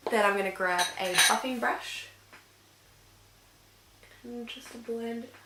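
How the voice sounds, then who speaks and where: A young woman, sick and slightly croaky and nasal, chatting casually through a makeup tutorial while applying products. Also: on the high side, takes it slowly, reverberant, heavy background noise. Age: young adult. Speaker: beauty vlogger. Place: dim bedroom with fairy lights, indoors.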